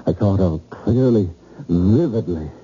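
An actor playing a bewildered, frightened husband in old-time radio drama, halting and repeating his words breathlessly. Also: low voice, animated, dark tone, husky. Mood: terrified, shaken. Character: frightened, bewildered husband haunted by a murderous nightmare. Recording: muffled old radio broadcast with music.

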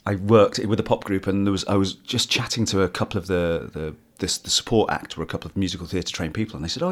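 Low-voiced guy chatting, kinda husky, keeps restarting sentences. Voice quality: hoarse, husky when tired. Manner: rambling, restarts often, self-deprecating. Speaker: musical theatre actor, interview guest. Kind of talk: casual interview chat.

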